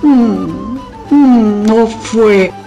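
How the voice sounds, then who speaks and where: A woman's voice: on the high side, extremely slow, vocal fry, warm tone, dull audio, full character voice. An actress playing a proud, domineering older queen in a historical radio drama, with a theatrical, scornful delivery. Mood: proud, reminiscing. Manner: theatrical, emphatic repetitions, haughty. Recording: music under the voice.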